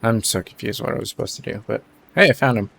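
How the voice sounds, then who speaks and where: A man's voice: fairly low pitch, fast talker, creaky, fairly bright tone. A man streaming a game, commenting casually and muttering offhand to himself. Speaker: gaming streamer. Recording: faint background noise.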